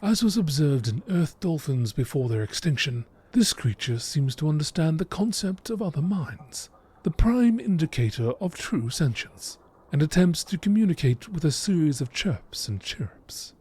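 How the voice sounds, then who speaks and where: A man giving a measured, informative scripted narration in the style of a nature documentary. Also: on the high side, big pitch swings, darkish tone, husky. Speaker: reader of video game text.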